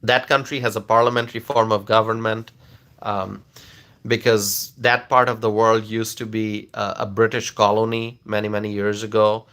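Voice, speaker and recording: A man's voice: lowish voice, little pitch movement, moderate pace, a bit bright. A man from Bangladesh, earnestly explaining politics to viewers in a casual, rambling way full of fillers and restarts. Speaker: political commentator answering viewers. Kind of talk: casual livestream talk.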